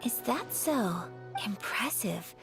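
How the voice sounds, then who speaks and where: A high, refined young lady of noble birth speaks over faint music. She is gentle and courteous, choosing formal, measured words as in an anime game. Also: sing-song, exaggerated voice. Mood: polite, curious. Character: refined, gracious young Japanese-styled noblewoman, gentle and polite. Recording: soft music behind the voice.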